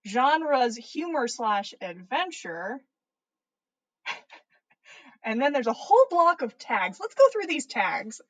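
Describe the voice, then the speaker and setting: Woman, higher-pitched voice, playful storyteller, amused, expressive, theatrical read-aloud. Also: takes it slowly, long pauses. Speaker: podcast host reading listener stories. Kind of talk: playful read-aloud of comedic fan fiction.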